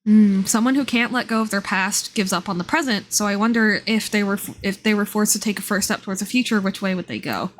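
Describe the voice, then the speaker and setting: A woman's voice: mid-range voice, fast, hardly any pauses, slight background noise, low-quality recording. A woman giving casual live game commentary as a streamer, musing aloud in a slangy, irreverent way.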